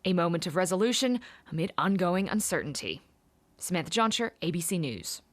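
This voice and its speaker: Animated Australian woman reporter. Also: lowish voice, fairly quick. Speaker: news reporter. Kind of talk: scripted TV report narration.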